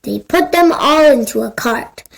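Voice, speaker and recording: A woman narrating a children's storybook in a simple, deliberate read-aloud style. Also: a little high, expressive, creaky.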